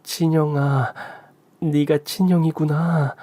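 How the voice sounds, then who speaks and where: A woman's voice: low-pitched, flat delivery, slow pace, warm tone, dry recording, pitched above the performer's own voice. A man voicing a tearful middle-aged woman, a long-lost mother, in a radio story. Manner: choked, emotional.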